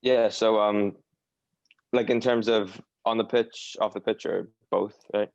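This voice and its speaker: Young man, Long Island accent, dull dry lo-fi sound, halting casual answers. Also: lowish voice, fairly even intonation, vocal fry, a little mellow, no room sound. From New York, USA. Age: young adult. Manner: hesitant, many fillers and restarts. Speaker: guest, academy footballer. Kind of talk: casual interview chat.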